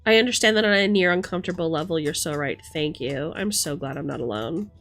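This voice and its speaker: A woman's voice: sits low, expressive, fast talker, fairly bright tone, soft background music. A woman hosting a livestream, talking casually and emphatically with plenty of swearing as she rants and chats with commenters. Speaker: livestream host.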